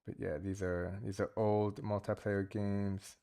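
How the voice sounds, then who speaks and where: A man's voice: on the low side, level tone, a little slow, creaky, mellow, smooth. A man giving casual, rambling commentary while playing mobile multiplayer games, thinking aloud with many restarts. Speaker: gaming channel host.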